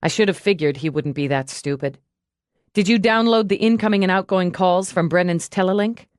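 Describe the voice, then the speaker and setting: A woman plays a hard-driven female police lieutenant in a crime audiobook. She is blunt and sardonic and hands out orders curtly. The voice is fairly low and recorded dry. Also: fairly quick, no echo, lightly acted. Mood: businesslike. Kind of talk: audiobook character dialogue.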